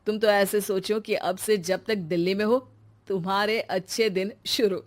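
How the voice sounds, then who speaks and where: Woman as a mid-pitched, teasing young husband. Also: steady tone, normal speed, natural voice. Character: teasing young married son of the family. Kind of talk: animated story acting.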